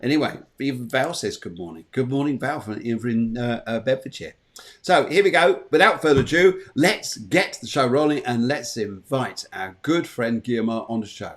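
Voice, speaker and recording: Male host, chatty and jovial, for livestream morning chat; repeats his phrases, medium-pitched voice. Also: animated, normal speed. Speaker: show host.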